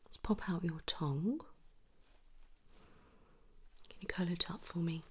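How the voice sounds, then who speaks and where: A woman whispering gently and reassuringly in an ASMR roleplay, playing a kind midwife at a check-up. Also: lowish voice, fairly quick. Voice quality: soft, breathy whisper.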